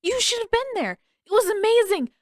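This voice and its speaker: Excitable young boy for an audiobook, played by a woman: high, eager, bursting with energy. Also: moderate pace, no echo, clean studio sound. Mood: thrilled, breathless excitement. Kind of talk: audiobook character voice.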